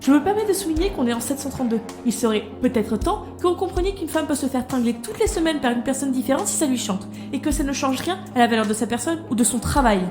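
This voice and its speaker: A woman's voice: high-pitched, animated, fast, few pauses, music in the background. An actress playing a brash, irreverent young woman engineer in an audio drama, blunt, slangy and sardonic. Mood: defiant.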